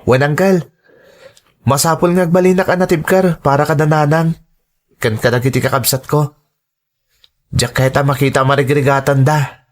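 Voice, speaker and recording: Man acting an earnest young student son, higher-voiced. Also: fairly even intonation, normal speed, pauses often, natural voice. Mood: earnest, respectful. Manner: earnest, respectful. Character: dutiful, earnest young male student, self-sacrificing son. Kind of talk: audio drama acting.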